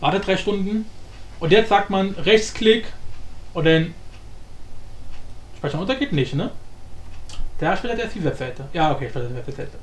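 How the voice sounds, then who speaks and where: High-pitched, animated man in echoey room. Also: normal speed, long pauses. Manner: informal, rambling, slangy, thinking aloud. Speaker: tutorial host. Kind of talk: casual screen-recorded software tutorial. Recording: echoey room, faint background noise.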